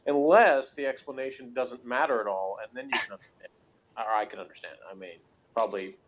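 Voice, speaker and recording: A man's voice: mid-range voice, brisk, a bit bright, a little hiss or hum. A man talking casually as co-host of a review discussion. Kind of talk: casual chat. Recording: dull, muffled lo-fi sound.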